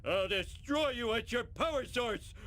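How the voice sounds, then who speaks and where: A man's voice: extremely high pitch, lively intonation, unhurried, a bit bright, full character voice, low-quality recording. A man voicing a dim-witted, lazy, childish cartoon dad for a video game, talking in a dopey, blurting way. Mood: excitable blurting.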